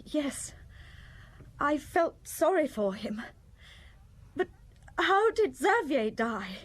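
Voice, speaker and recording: An actress playing a fragile, guilt-ridden woman in a radio drama, hesitant, faltering and anguished, her voice breathy and husky. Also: sits high, big pitch swings, slow, full character voice. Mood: anguished, hushed.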